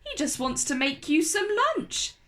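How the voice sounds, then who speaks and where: A woman voicing a brisk, reassuring female chicken with a chatty, clucking manner for a children's storybook. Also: high voice, lively intonation, slight background noise. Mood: chatty, explaining. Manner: clucking, cackling, matter-of-fact.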